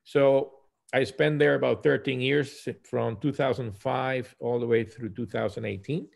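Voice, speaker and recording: A man in his fifties from Puerto Rico with a Puerto Rican accent, a native Spanish speaker, talking conversationally as a guest pastor on a video call, halting and correcting himself. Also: mid-range voice, fairly even intonation, creaky. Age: 50s. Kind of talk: conversational personal account. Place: small room at home, indoors.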